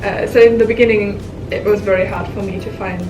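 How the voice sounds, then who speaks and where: A woman's voice: medium pitch, fairly even intonation, a little fast, recorded from a distance, lo-fi sound. A young woman from Germany, an exchange student, chats casually and often laughs as she talks. Her voice is in the middle range. She sits away from the mic in an echoey room with music behind. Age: young adult. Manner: laughs through her words, chatty.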